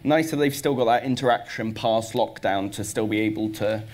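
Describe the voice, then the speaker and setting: A man's voice: lowish voice, normal speed, a little mellow. A young man speaking as a panel guest in an earnest, easygoing way with long run-on sentences. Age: young adult. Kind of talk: panel discussion.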